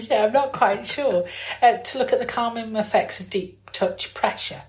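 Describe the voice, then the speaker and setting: A woman's voice: fairly high pitch, moderate pace. A woman talking in a casual, chatty and informal way, often searching for words. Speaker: podcast host. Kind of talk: casual chat. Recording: echoey room.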